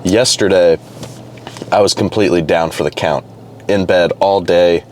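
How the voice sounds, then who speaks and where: A young man vlogging casually to camera, a little hoarse and congested from a cold, rambling loosely with restarts and fillers. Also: low voice, steady tone, moderate pace, a little mellow, a little hiss or hum. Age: young adult. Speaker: fitness vlogger. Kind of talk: casual vlog talk to camera.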